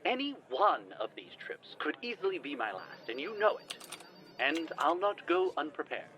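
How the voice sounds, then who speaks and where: A man's voice: a little high, sing-song, moderate pace, pushed and bright, slight background noise. A man playing a wary, sardonic reluctant adventurer in an audio drama, with hesitant, dry, formal wording. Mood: wry, defensive. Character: wary, sardonic man reluctantly dragged into dangerous paranormal missions. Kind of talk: audio drama phone-call acting. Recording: dull lo-fi sound, typing.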